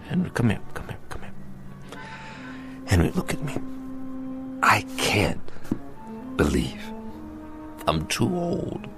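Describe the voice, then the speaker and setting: A weary, gravelly washed-up boxer. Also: male voice, fairly low pitch, exaggerated voice. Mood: despairing, pleading. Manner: rough street talk, halting and anguished. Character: washed-up, battered boxer, weary, bitter yet tender. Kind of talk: emotional radio drama acting.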